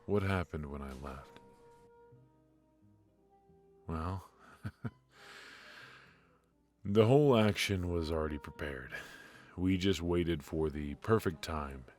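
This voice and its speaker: A man acting a brooding, ruthless yet remorseful mafia defector in an intimate noir ASMR audio drama, speaking in a halting, confiding way. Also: sits low, a little mellow, faint music behind. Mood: grim, matter-of-fact. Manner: halting, hesitant, confiding delivery.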